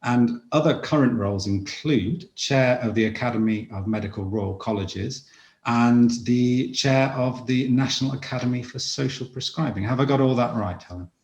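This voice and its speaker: A man's voice: medium pitch, normal speed, darkish tone. A man hosting a webinar, introducing his guest in a friendly, easygoing way over a video call. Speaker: webinar host. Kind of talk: interview introduction. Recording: dull, roomy video call audio.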